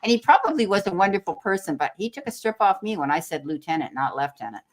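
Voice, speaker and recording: A woman's voice: medium pitch, sing-song, fast. A Canadian woman hosting a live tarot reading, reassuring and calling people her darling. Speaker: tarot reader, stream host.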